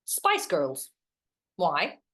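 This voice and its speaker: An actress playing an awkward, literal-minded young woman in a play reading, a hopeless romantic with a hesitant, wry deadpan manner. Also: low-pitched, very slow, heavily acted. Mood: incredulous. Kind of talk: two-hander stage play reading.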